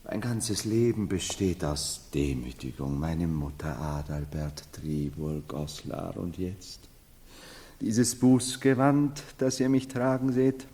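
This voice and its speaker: An actor playing an unsettled, imperious man who believes himself emperor, in solemn, theatrical radio drama style, halting and then rushing. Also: low voice, mellow. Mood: solemn, humbled. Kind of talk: 1970s German radio drama acting. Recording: long hall reverb.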